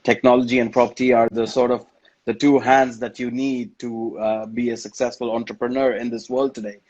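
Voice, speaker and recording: A man hosting a live online interview, asking friendly questions with lively emphasis and repeated words, over a video call. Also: medium pitch, a little fast, highs cut off. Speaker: interview host.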